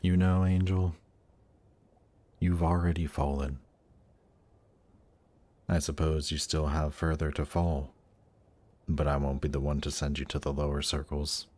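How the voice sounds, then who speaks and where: Casting: male actor for suave demon prince of Hell, extremely low voice, deliberate and tempting, intimate fantasy audio roleplay. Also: flat delivery. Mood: reassuring, teasing. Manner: slow, seductive, deliberate, gently coaxing. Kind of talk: intimate audio roleplay, scripted monologue to listener.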